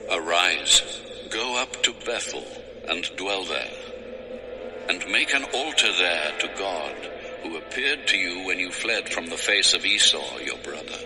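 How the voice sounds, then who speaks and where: A majestic, commanding God in a Bible drama. The very deep voice rings in a long reverb, solemn above prominent music. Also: male voice, expressive, unhurried. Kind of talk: dramatized audio Bible acting.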